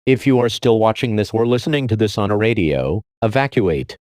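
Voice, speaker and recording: A man's voice: on the low side, lively intonation, brisk, darkish tone, no room sound, studio quality. A man delivering a scripted emergency alert as an announcer.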